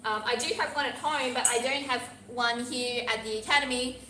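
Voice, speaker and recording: An Australian woman with a bright, fairly high voice walks viewers through a recipe in a chatty, encouraging way. She is heard in an echoey teaching kitchen with a faint hum behind her. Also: fairly even intonation, moderate pace. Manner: chatty, encouraging, talks while working with her hands. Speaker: pastry instructor. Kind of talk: live cooking demonstration. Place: teaching kitchen at a pastry academy.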